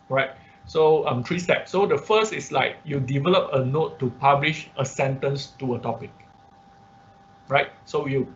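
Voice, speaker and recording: A man teaching an informal online programming class, thinking aloud haltingly and repeating his words as he explains. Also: mid-range voice. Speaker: instructor. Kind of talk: informal online programming lesson with live demonstration. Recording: muffled, noisy online class audio.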